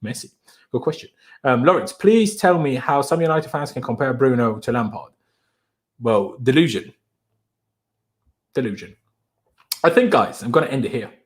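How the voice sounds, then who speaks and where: A young man with a German accent, a native German speaker, hosting a casual football live stream and answering viewer questions in a chatty, animated way. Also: medium pitch, normal speed, pauses often. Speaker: football channel host.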